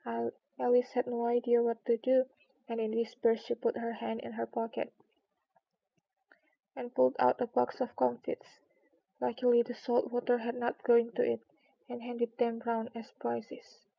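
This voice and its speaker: Casting: female storybook narrator, very even high voice with creak, careful reading, slightly dull recording. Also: normal speed. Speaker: streamer reading books aloud.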